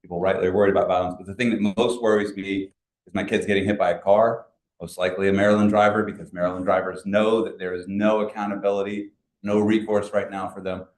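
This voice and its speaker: A man with a very deep voice asks a question from the floor at a community meeting. He talks quickly and flatly, and he is a bit halting at first. The sound of the room carries around him. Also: roomy sound, poor audio. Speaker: resident asking a question.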